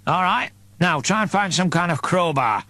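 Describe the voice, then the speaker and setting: Guy doing a shifty brisk priest, highish voice that bounces around, offhand and breezy, audiobook character. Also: expressive, bright, forceful tone, no echo. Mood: bossy. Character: brisk, evasive, self-serving male priest.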